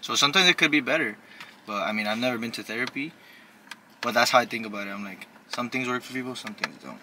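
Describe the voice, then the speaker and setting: A man's voice: mid-range voice, animated, fast talker, sharp tone, low-quality recording. A man chatting casually with friends in informal, slangy speech. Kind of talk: casual group chat. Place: inside a car. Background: faint vehicle noise.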